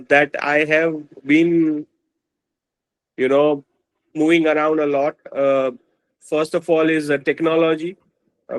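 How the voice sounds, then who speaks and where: A man's voice: medium pitch, dry recording, rough recording. Hesitant man, dry sound. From India. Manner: hesitant, halting, many fillers. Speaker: podcast guest. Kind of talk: casual interview talk.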